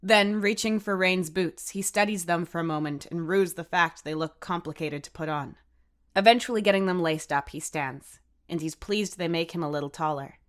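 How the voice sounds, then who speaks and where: Brief: female audiobook narrator, slightly low register, scripted third-person storytelling. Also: moderate pace.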